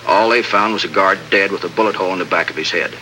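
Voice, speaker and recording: An actor playing a steady American lieutenant in a 1950s radio drama, crisp and matter-of-fact. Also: male voice, sits low, fast talker, slight background noise, low-quality recording. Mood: earnest, briefing. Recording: old radio recording, faint music.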